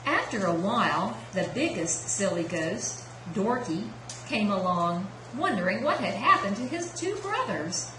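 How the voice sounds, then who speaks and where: A woman narrates a children's tale for a puppet show. Her voice sits at a middle pitch, recorded in an echoey indoor room with clear background noise. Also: sing-song, unhurried. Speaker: storyteller, puppeteer.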